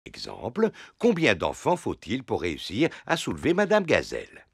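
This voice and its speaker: A man's voice: on the low side, wildly swooping pitch, normal speed, creaky, heavily acted. An actor voicing a patient grown-up male pig who explains experiments to children in a teacherly, sing-song way, in a French cartoon dub. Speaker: father leading science experiments.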